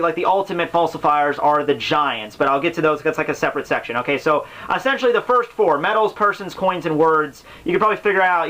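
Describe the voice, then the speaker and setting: A man's voice: fairly high pitch, bright, forceful tone. A man giving casual, unscripted explanatory commentary as a host, rambling and slangy in his delivery. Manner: rambling, slangy, with exaggerated exasperation. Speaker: host of a book summary series.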